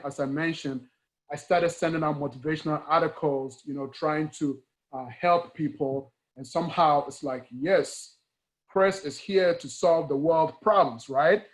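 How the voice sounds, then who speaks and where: A man from Ghana with a Ghanaian accent, talking earnestly in a conversational interview, stressing points through repetition and rhetorical questions. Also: fairly high pitch. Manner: emphatic, repeats key words and asks rhetorical questions. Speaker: guest speaker, engineering educator.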